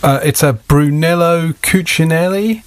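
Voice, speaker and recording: A man's voice: a little high, measured pace, no room sound. Rambling British man, sweary podcast chat. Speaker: podcast host.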